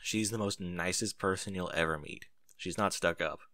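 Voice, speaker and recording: A man's voice: deep voice, moderate pace, sharp tone, no room sound, studio quality, voice pushed down. A man voicing an earnest, know-it-all pony in a story, explaining things emphatically. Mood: earnest, advising.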